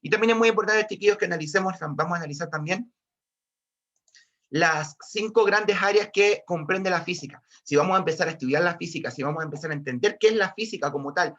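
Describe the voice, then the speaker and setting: A male high school physics teacher with a Chilean accent, giving an online class while a bit hoarse from a cold, repeating himself and often calling to his students. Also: fast, fairly bright tone. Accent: Chilean Spanish. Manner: repetitive, restarts often, keeps addressing students. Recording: dull lo-fi online call audio.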